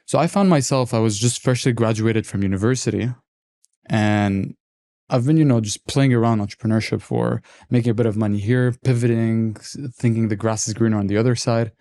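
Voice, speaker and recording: Mellow-voiced young man from Lebanon. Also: lowish voice, fairly quick. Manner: reflective storyteller, relaxed and candid. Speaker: podcast guest, entrepreneur. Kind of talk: casual interview talk.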